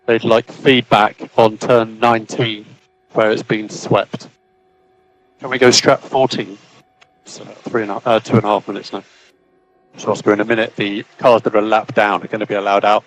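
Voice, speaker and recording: A man's voice: medium pitch, slight background noise, rough recording. A man working as a race engineer, giving terse, clipped instructions over team radio and repeating the key calls. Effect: team radio transmission. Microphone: radio headset.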